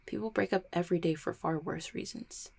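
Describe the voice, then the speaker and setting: A woman's voice: lowish voice, a little mellow. A woman acting a guarded, heartbroken small-town mail carrier in an audio roleplay, stammering and blaming herself. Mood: defensive, guarded. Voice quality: husky, creaky. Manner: halting, stammering, self-deprecating. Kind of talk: roleplay audio drama, intimate one-sided conversation.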